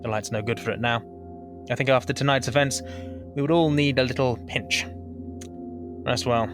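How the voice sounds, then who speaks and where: A man's voice: mid-range voice, steady tone, moderate pace, music in the background, natural voice. An actor playing a fatherly, courteous Victorian doctor, speaking formally and reassuringly in a period audio drama. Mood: soothing.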